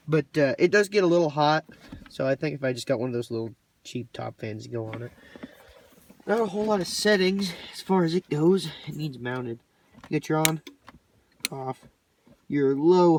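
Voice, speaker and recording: Plainspoken man, recorded away from the mic. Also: fairly high pitch. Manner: plainspoken, folksy, colloquial grammar. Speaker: hobbyist presenter demonstrating his radio gear. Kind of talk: casual hands-on walkthrough. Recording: distant mic, light background noise, clicks.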